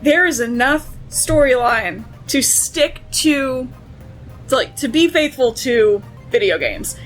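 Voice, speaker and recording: A woman's voice: high voice, lively intonation, slow pace, poor audio. A woman chatting casually as a panelist in a fan discussion. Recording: faint music behind voice. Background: music.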